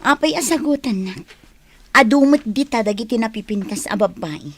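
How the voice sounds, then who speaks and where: A woman's voice: on the high side, lively intonation, normal speed. A woman playing an earnest, stubborn young adult daughter in a Filipino radio drama. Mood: defiant.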